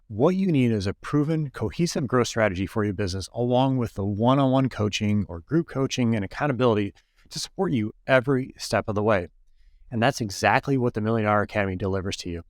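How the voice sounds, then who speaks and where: A man's voice: mid-range voice, no room sound, clean studio sound. A man hosting a podcast in an enthusiastic, upbeat way, both chatting and reading promos. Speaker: podcast host.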